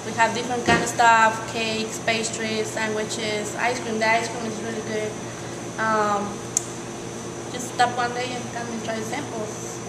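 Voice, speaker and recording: A woman business owner speaking plain, halting casual remarks in her small bakery. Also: on the high side, flat delivery, roomy sound, very noisy. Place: small bakery with brick walls, indoors.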